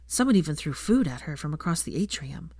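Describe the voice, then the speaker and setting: A woman's voice: sits low, sing-song, fairly quick, darkish tone, dry recording, studio quality. A woman reading fiction aloud as scripted audiobook narration.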